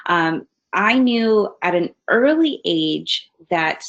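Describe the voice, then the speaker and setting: A woman's voice: fairly low pitch, animated, slow, a bit bright. Friendly woman from Hawaii presenting a webinar. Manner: friendly, conversational with frequent fillers. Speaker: guest presenter. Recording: slightly dull webinar audio.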